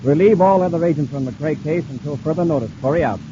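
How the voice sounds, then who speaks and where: An actor playing a commanding space patrol officer, formal and clipped, in the style of a 1950s radio adventure serial. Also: male voice, a little high, quick pace, close to an everyday voice. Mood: brisk, commanding. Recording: muffled, noisy old radio broadcast recording.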